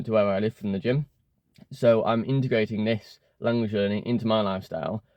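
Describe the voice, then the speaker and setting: A man's voice: lowish voice, darkish tone, no echo. A young man with an English accent, talking casually into his phone from inside his car and often stopping to restart his sentences. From England. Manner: rambling, with many fillers and restarts. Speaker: language learning vlogger. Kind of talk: casual talk to camera.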